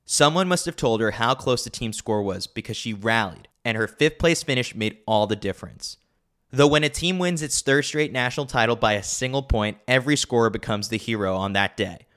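A man's voice: mid-range voice, expressive, fairly quick, fairly bright tone. A man narrating a scripted sports newsletter as a podcast host, with a wry, opinionated way of talking.

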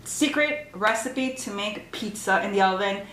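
A woman's voice: mid-range voice, a little slow, a bit bright. An American woman from the Chicago area, talkative and passionate, chatting casually as an interview guest. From Chicago area, United States. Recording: roomy echo, clear background noise.

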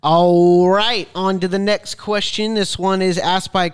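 A man with a mid-pitched, resonant voice hosts a podcast. He speaks informally and conversationally, and the sound is dry with no room echo. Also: little pitch movement, measured pace, clean tone. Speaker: podcast host. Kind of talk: casual chat, reading listener questions.

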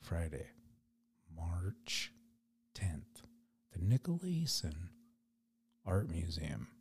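A man hosting a podcast solo, with a raspy voice, chatting loosely and rambling in an unedited way. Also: low voice, very animated, extremely slow, darkish tone. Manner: rambling, loose, laid-back. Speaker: podcast host. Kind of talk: casual solo podcast chat.

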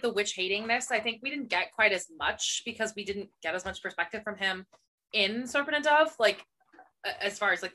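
A woman's voice: medium pitch, pushed and bright. A young woman co-hosting a casual book podcast, chatty and sarcastic, mimicking characters as she talks. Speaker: podcast co-host. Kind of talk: casual chat about books.

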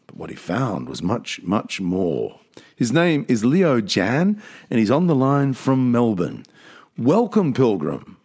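A man hosting a podcast, talking in a slow, thoughtful, reflective way. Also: medium pitch, darkish tone. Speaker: podcast host.

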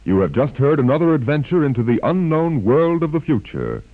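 A man signs off a vintage radio program in a measured, formal announcer's voice with lively pitch. The sound is thin and narrow, with loud orchestral music underneath. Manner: measured, resonant announcer delivery. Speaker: radio show host. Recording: tinny old radio broadcast over music.

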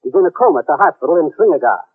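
A fast-talking male commissioner with a slightly high, husky voice. He is formal and authoritative, in a muffled vintage radio drama recording. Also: animated. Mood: urgent briefing. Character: businesslike male government commissioner briefing his agent. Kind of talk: 1940s-50s radio drama acting.

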